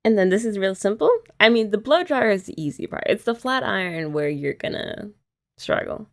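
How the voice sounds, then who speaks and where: A woman's voice: medium pitch, animated, normal speed. A young woman doing a chatty ASMR girlfriend roleplay, explaining each step and teasing her listener. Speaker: ASMR creator.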